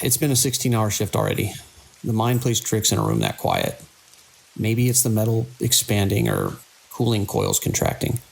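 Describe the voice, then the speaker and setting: Weary, authoritative male hospital director for audio drama, played by a man. Gravelly, expressive delivery. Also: lowish voice, full character voice, rough recording. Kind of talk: radio drama acting.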